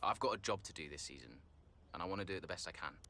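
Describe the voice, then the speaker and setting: A man's voice: low voice, lively intonation, racing, sharp tone, heavily acted. An actor playing a proud, frustrated male Formula One driver in a video game, with a guarded, terse manner. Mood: guarded, measured. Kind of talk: dramatic game cutscene dialogue. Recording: clear background vehicle noise.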